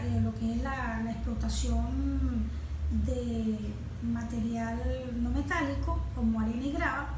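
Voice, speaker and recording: A female consultant with a Venezuelan accent explains things in a conversational way. Her voice is high-pitched, recorded in an echoey room with clear noise behind it. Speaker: environmental consultant, guest.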